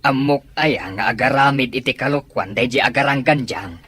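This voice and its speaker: A man's voice: mid-range voice, moderate pace, fairly bright tone, slightly rough, no room sound. A man playing a stubborn, dismissive father in an Ilocano radio drama, with an Ilocano accent. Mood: defiant.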